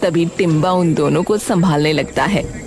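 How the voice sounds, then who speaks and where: A woman's voice: lowish voice, moderate pace, over music. A woman narrating a scripted story as its storyteller.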